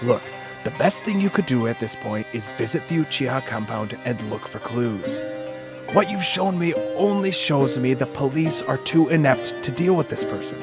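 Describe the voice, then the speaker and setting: Actor as serious male counsellor in anime audio drama. Deliberate, middle register, over music. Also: mid-range voice, animated, lightly acted. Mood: firm. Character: serious, pragmatic male adviser to a ninja investigator. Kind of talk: anime fan radio drama acting.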